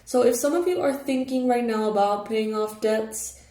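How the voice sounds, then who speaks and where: A young woman hosting her own tarot channel, her voice raspy and hoarse from just waking up, chatting casually with fillers and soft laughs. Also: fairly high pitch, moderate pace. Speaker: tarot reader, channel host. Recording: echoey room, faint noise.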